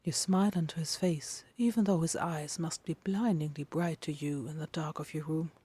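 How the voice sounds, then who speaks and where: A woman narrating a dramatic fiction reading in a hushed, intimate manner with deliberate phrasing. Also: deep voice, fairly even intonation, slightly rough. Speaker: podfic narrator.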